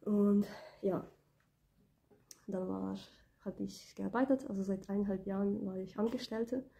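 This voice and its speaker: Soft-spoken young woman with a cold, from Ukraine. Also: medium pitch, fairly even intonation, a little slow, vocal fry, slight background noise. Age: 20s. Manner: soft-spoken, hesitant, many fillers. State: has a cold. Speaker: beauty business owner. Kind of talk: casual personal vlog. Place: small indoor room, plain wall. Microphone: phone camera close up.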